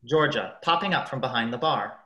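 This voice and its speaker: A woman's voice: extremely low pitch, lively intonation, brisk, fairly bright tone. A woman narrator reading out stage directions for a radio play. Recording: roomy, echoing sound.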